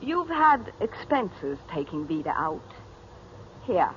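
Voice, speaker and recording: A woman's voice: fairly low pitch, very animated, slow. An actress playing a proud, determined mother and businesswoman in 1940s radio drama style. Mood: firm, angry. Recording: dull, noisy 1940s radio recording.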